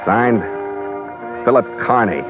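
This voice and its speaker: An American man acting an easygoing sea captain who narrates his adventures in measured, vivid, dryly understated old-time radio style. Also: mid-range voice, lightly acted. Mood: measured, formal. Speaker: lead actor and narrator. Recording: muffled vintage radio recording. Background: loud dramatic music.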